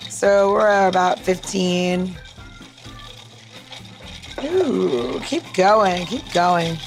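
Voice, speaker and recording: A woman's voice: lowish voice, expressive, drawn-out words, soft background music. A woman hosting a podcast, chatting informally as she talks through mixing a drink, often checking in with the listener. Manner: chatty, informal, frequent "like" and "right?". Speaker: podcast host and bartender. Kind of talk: casual chat, talking through a cocktail demonstration.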